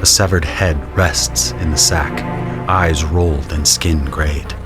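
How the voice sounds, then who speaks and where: A man playing a grim, observant conscript soldier who narrates an audio drama in a measured, solemn way. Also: very deep voice, fairly even intonation, over music. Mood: grim, watchful. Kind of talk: first-person scripted audio drama narration.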